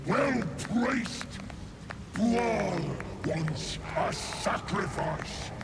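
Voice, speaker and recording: A bloodthirsty fey creature passing itself off as a murder god in a fantasy game. The voice is high and slow, swooping widely with theatrical, taunting menace. Loud music plays behind it. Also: male voice, expressive, exaggerated voice. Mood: bloodthirsty demanding. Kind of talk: fantasy video game acting.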